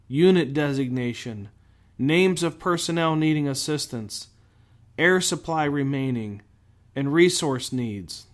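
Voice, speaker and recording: Man, somewhat high-pitched, instructional host, scripted delivery, deliberate, carefully enunciated, emphatic phrasing. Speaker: host of a firefighter safety show.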